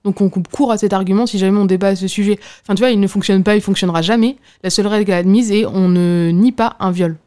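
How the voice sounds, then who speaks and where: Young woman talking fast, mellow voice, blunt and emphatic, jumps in a lot. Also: mid-range voice, steady tone. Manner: blunt, emphatic, quick to cut in. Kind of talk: casual discussion.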